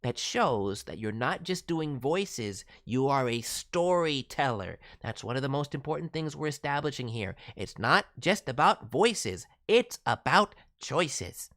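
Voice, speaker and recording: A woman hosting a livestream as a voice director, giving casual, encouraging, animated critique. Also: extremely low pitch, unhurried, hardly any pauses. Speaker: streaming host and voice director reviewing demos.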